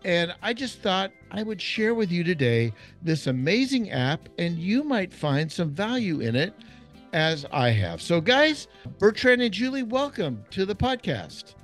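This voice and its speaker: A man hosts his podcast with bright enthusiasm, his pitch leaping high and swinging widely as he exclaims. His voice sits fairly high, and soft guitar music plays underneath. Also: big pitch swings, measured pace. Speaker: podcast host, chef. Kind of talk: upbeat podcast hosting.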